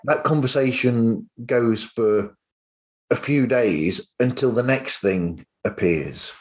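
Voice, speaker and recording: A man's voice: mid-range voice, slow. A man talking casually as a podcast host, in a rambling, anecdotal way.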